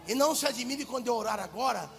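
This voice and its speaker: A Brazilian man preaching with fervor, his voice strikingly high and gravelly. He lurches from shouts to hushed asides. The sound carries the long reverb of a big church hall, with faint music underneath. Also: animated, fast. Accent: Brazilian Portuguese. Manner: fervent, swings from loud shouts to low asides. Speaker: pastor. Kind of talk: preaching a sermon.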